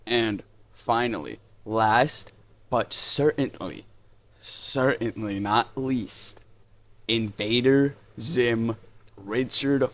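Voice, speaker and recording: A man's voice: mid-range voice, very slow, a bit bright. A man hosting a casual, unscripted video review in an informal, enthusiastic, rambling way. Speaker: YouTube reviewer, host.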